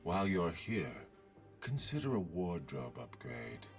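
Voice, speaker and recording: A male actor voicing a sardonic, ruthless gunslinger assassin taunting in a video game, with a gravelly, breathy drawl. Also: low voice, big pitch swings, unhurried, full character voice. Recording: game audio with music behind.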